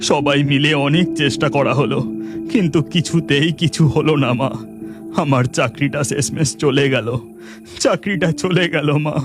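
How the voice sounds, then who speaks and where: A woman's voice: low-pitched, a little slow, voice pushed down. A woman voicing a caring young husband who talks in an earnest, pleading way in a cartoon. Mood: despairing. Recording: music bed behind the voice.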